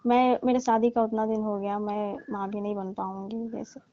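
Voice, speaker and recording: A woman's voice: medium pitch, little pitch movement, normal speed, dry recording, lightly acted. A woman playing a coy, complaining young daughter-in-law in a staged comic phone call. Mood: sad, confiding. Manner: coy, hinting, complaining, teasing. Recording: muffled, lo-fi, dry call recording.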